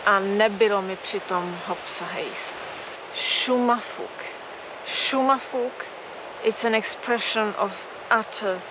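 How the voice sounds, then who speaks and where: A woman with a Czech accent, a Czech native, slowly and thoughtfully explaining idioms as a language teacher, filmed outdoors on a tripod camera in the cold. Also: medium pitch. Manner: slow, thoughtful, unhurried. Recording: outdoor take with loud stream noise.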